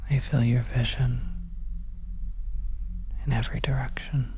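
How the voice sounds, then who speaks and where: A narrator of unclear sex performing scripted second-person horror ASMR in a soft, breathy whisper, hushed and deliberate, with long pauses. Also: mid-range voice, level tone, noisy background. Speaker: audio roleplay narrator.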